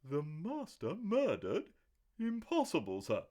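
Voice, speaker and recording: A man's voice: on the low side, very animated, measured pace, a little mellow, pitched above the performer's own voice. A man voicing a stiff, proper older butler who speaks with formal, deferential politeness. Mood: startled.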